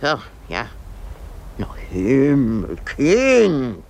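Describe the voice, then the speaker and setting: A woman's voice: extremely low pitch, huge pitch swings, very slow, vocal fry, darkish tone, exaggerated voice. An actress playing an elderly, cantankerous, self-pitying woman in a radio drama, exclaiming and groaning with effort. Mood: fussy.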